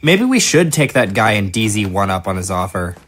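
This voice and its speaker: A man's voice: lowish voice, sing-song, a little fast, a bit bright. A man playing a thoughtful seventeen-year-old undead son in an audio drama, earnest and inquisitive. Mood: questioning.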